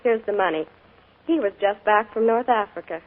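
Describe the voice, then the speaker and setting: A woman's voice: medium pitch, normal speed. A young woman, a guest on a radio show, speaking in a cheerful, modest and earnest way. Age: young adult. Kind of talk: scripted radio interview. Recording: tinny 1940s radio broadcast.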